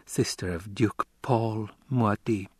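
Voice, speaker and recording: Audiobook child, played by a male narrator: precocious little girl, uncanny, haughty, defiant, deliberate delivery. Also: on the low side, slightly rough, dry recording, clean studio sound.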